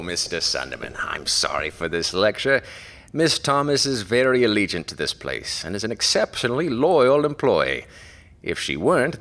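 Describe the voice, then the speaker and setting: An actor playing a genial small-town library director in an audio horror drama, smooth-talking and chuckling. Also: male voice, lowish voice, sharp tone, full character voice.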